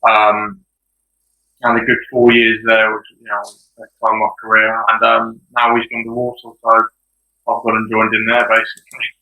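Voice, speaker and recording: Man, footballer guest, modest, flat delivery, very bright, echoey room. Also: lowish voice, moderate pace, muffled recording. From England. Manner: modest, steady, hesitant fillers. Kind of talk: interview answers.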